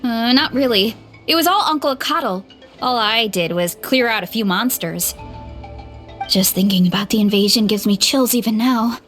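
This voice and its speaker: An eager, friendly young female athlete in a game, speaking in a high voice full of bubbly enthusiasm. She sounds starstruck and earnest, with faint game music in the background. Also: normal speed. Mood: humble. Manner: bubbly, enthusiastic, talks with excited warmth about meeting heroes. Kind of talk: game voice acting.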